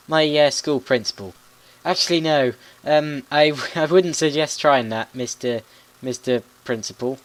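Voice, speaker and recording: A man's voice: on the high side, fairly bright tone, low-quality recording. A teenage boy in secondary school giving chatty, polite play-by-play commentary on a video game, often stumbling over his words. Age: teens.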